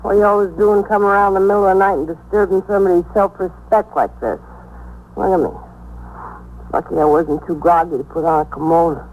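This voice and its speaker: A woman's voice: on the low side, brisk, phone-quality sound, lightly acted. An actress playing a groggy, indignant young American woman with a whiny, slangy manner in 1950s radio drama style. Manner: whiny, slangy, put-upon. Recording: noisy 1950s radio recording.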